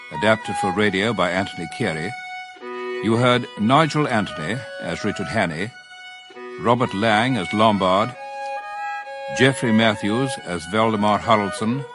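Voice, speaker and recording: A man announcing the cast list at the end of a radio drama in a slow, formal reading over violin music. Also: fairly low pitch.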